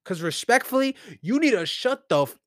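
A man's voice: extremely high pitch, very animated, normal speed, fairly bright tone, dry recording, studio quality. A man hosting a solo podcast alone in his room, thinking aloud in a rambling, conversational way into a mic with headphones on. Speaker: podcast host. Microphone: studio mic with headphones.

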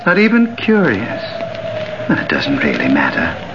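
An actor playing a cold, sardonic killer who taunts with mock politeness, in 1940s radio thriller style. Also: male voice, low-pitched, huge pitch swings, a little slow, full character voice. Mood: mock-concerned, gloating. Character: cold, mocking male killer taunting his doomed victim. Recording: muffled, noisy old 1940s radio recording.

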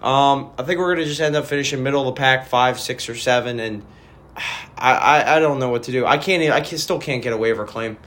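A man hosting a casual fantasy football podcast, his voice raspy from a weekend party, rambling with frequent restarts. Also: medium pitch, a little fast, a bit bright. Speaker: podcast host.

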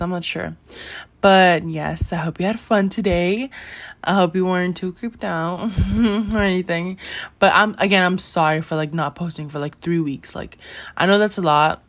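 A teenage girl hosting her own podcast in a casual, rambling chat, full of "like" and "you know" and frequent giggles. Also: medium pitch. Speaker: podcast host.

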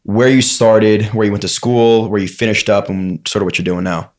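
Young male host, low voice, loose and jokey interviewer. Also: steady tone, moderate pace. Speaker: podcast host. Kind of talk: casual podcast chat.

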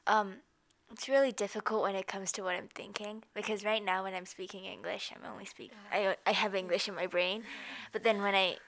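A woman's voice: mid-range voice, level tone, a little fast, a bit bright. A woman whose first language is Japanese, chatting casually in English as a podcast guest, laughing often and using loose fillers. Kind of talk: casual chat.

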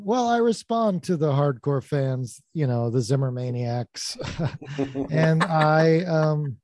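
A man with a relaxing, soothing voice, chatting as a podcast guest with dry, deadpan humor. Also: a little high, no echo. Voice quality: soft, soothing, calm voice. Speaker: guest comedian. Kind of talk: casual podcast chat.

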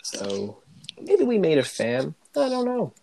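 A man's voice: on the high side, wildly swooping pitch, slow pace, creaky, mellow. Male American sports podcast host, casual banter, noticeable noise and clicks behind the voice.

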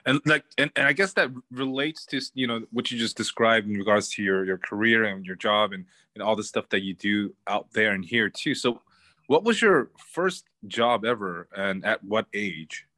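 A man's voice: on the low side, normal speed, bright, forceful tone, no room sound. A man hosting a podcast, laid-back and slangy as he welcomes and questions a guest. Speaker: podcast host. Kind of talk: casual podcast interview.

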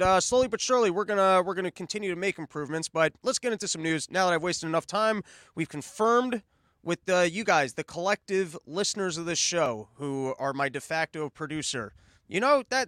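Brief: high-voiced male podcast host, foul-mouthed and rambling, dry close mic with faint music underneath. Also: fairly bright tone, dry recording. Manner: brash, profane, rambling riffs. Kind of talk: solo comedic live-stream podcast. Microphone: studio mic on a live stream.

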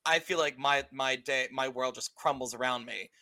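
A man around thirty with a bright, forward voice at a medium pitch. He co-hosts a podcast in a loose, chatty way, swears casually and acts out what he was thinking as he goes. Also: steady tone, moderate pace. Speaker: podcast co-host. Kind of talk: casual chat.

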